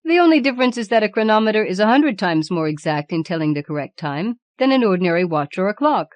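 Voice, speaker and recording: A woman's voice: mid-range voice, sing-song, fast talker, dry recording, voice pushed up, natural voice. A woman voicing Death as a male skeleton in a storybook, garrulous, boastful and formal in his storytelling. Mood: pleased, reasoning.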